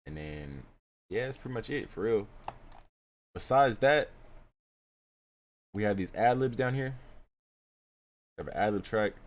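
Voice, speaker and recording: A man's voice: fairly low pitch, a little hiss or hum. A young man casually explaining how he mixes vocals in a screen-recorded tutorial, laid-back and full of fillers. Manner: laid-back, filler-heavy, keeps saying "you know what I'm saying". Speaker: music producer teaching vocal mixing.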